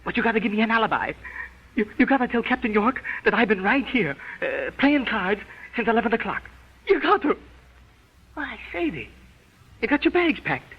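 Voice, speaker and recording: A small-time radio-play gangster on the run, pleading and scheming. His high voice swoops urgently as he stammers and repeats himself. It is a dull, muffled vintage radio recording. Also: sing-song, moderate pace, slightly rough, heavily acted. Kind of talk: old-time radio crime drama acting.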